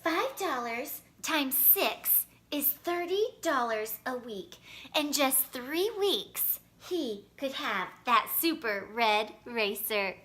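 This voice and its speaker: Female children's storyteller, high and bright, expressive scripted narration, animated delivery. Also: slow pace.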